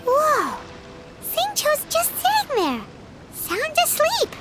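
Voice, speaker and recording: A woman voicing a small, childlike, excitable fairy companion in a video game, squeaky and bubbly with chatty exclamations. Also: slow pace, heavily acted. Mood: curious, surprised. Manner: bubbly, exclamatory, refers to self by name. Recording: background music under the voice.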